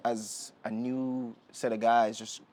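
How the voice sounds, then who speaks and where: A young man from Jamaica talking as a podcast guest, relaxed and rambling with many fillers and restarts. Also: mid-range voice, takes it slowly, slight background noise. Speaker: guest, Olympic sprinter. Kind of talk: casual interview talk. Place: indoor podcast setting.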